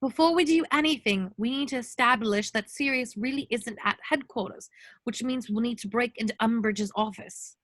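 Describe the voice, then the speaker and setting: A woman's voice: fairly high pitch, normal speed, a bit bright, no room sound. A woman playing an earnest, bossy, clever teenage schoolgirl, speaking insistently in an amateur script read. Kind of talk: amateur online screenplay table read.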